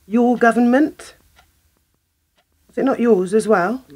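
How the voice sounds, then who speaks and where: A woman's voice: on the high side, slow pace, darkish tone. A woman playing a sceptical, dry-witted woman in a radio play, full of questions. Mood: wary, probing.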